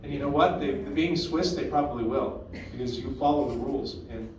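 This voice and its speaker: A man's voice: mid-range voice, fairly quick, slight background noise. A man hosting a town hall meeting, talking in a conversational, opinionated way with frequent restarts. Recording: echoey room, far from the mic.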